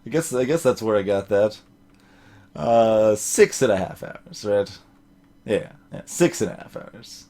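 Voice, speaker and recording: A man's voice: on the low side, lively intonation, a little slow, dark tone. A man giving casual let's play commentary, rambling and musing to himself as he goes.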